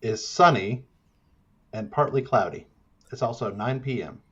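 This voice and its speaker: A man's voice: medium pitch, unhurried, husky. A man improvising film-style scene directions with casual banter, on a slightly dull microphone. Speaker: scene-setting director in tabletop game.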